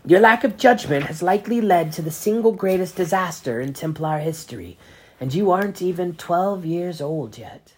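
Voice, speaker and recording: A parent reading aloud voices a cold, sneering male grand inquisitor with a raised, forceful delivery. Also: medium pitch, lively intonation, moderate pace, breathy edge, pitched above the performer's own voice. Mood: scornful. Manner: clipped, sarcastic, accusing.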